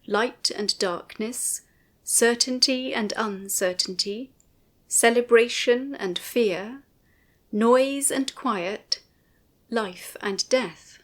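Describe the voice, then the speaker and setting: A woman reading a scripted commentary in a measured, formal and precise manner. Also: on the high side, fairly bright tone. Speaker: narrator. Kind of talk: scripted documentary commentary, read aloud.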